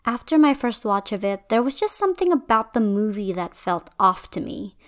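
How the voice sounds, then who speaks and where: A woman's voice: medium pitch, big pitch swings, normal speed. Young woman from Indonesia, video essay voice-over, conversational and opinionated. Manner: conversational, opinionated, a bit wry. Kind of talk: scripted commentary voice-over.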